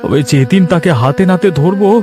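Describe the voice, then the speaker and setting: An anxious village fish merchant, voiced by a man in a cartoon. His voice sits high and sounds plaintive and pleading, over music. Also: expressive, fairly quick, a little mellow. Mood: troubled. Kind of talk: Bengali cartoon story acting.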